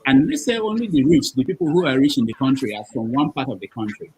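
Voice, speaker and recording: A man's voice: medium pitch, animated, fast talker, darkish tone, a little hiss or hum, poor audio. A man hosts a live panel discussion, cutting in to manage the speakers and laying out long hypothetical questions. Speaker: host.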